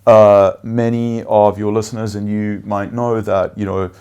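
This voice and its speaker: A man whose first language is Afrikaans, giving measured interview answers as a venture investor, with frequent fillers and listed points. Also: on the low side, flat delivery, mellow, clear, resonant tone, muffled recording.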